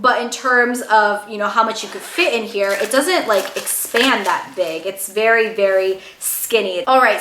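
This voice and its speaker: A woman's voice: fairly high pitch, a bit bright, reverberant. A young woman with a slightly scratchy voice from a cold, chatting candidly in a detailed makeup review vlog. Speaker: beauty vlogger.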